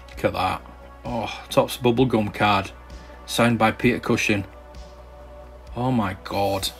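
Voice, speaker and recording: A man with a lowish voice talks through a catalogue in a casual, rambling way, full of ums and false starts. His remarks are enthusiastic and unscripted, and faint music plays behind him. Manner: rambling and hesitant, with many ums and restarts. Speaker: collector and channel host. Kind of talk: casual commentary while browsing a catalogue. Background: faint background music.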